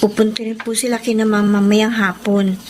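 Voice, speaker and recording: A woman's voice: mid-range voice, flat delivery, normal speed, background noise, natural voice. A young woman playing a dutiful, respectful daughter and employee in a Filipino audio drama, speaking earnestly with a Filipino accent. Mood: subdued. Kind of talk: Filipino radio drama acting.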